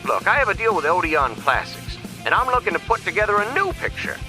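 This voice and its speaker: A man playing a brash, flattering Hollywood filmmaker in a comic dub, with showy salesman-like patter. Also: sits high, fairly quick, faint music behind, exaggerated voice. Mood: flattering, pitching. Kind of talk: animated comic dub acting.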